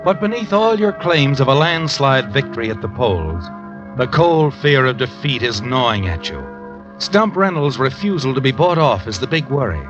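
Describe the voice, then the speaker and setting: A man acts as the knowing narrator of a vintage radio thriller. His delivery is insinuating and needling, swinging widely in pitch. It sounds like a muffled old broadcast with music under it. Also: natural voice. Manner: insinuating, addresses the character as you. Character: sly, knowing narrator taunting the guilty man. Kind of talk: 1950s radio mystery narration.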